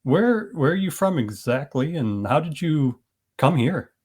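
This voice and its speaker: A man's voice: fairly high pitch, animated, a little slow, a little mellow, husky. A man hosting a podcast interview, chatting in a friendly, rambling way with restarts. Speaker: podcast host. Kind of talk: casual interview chat.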